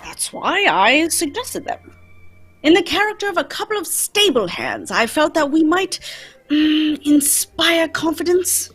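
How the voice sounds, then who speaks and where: Woman as male detective, high voice, lively swings in pitch, theatrical, brisk, commanding, old-time radio drama. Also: faint music behind, exaggerated voice. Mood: assured.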